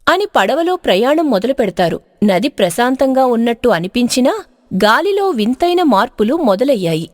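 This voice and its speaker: Lively, highish-voiced woman narrator. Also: normal speed, dry recording, studio quality. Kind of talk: scripted dramatic story narration.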